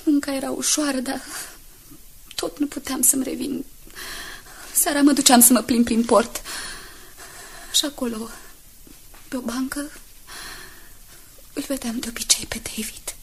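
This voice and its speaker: An actress in a Romanian radio drama playing a frail, anguished young woman who confesses her past haltingly and intimately. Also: sits high, a little hiss or hum. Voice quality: husky, breathy. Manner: halting, pained, intimate storytelling.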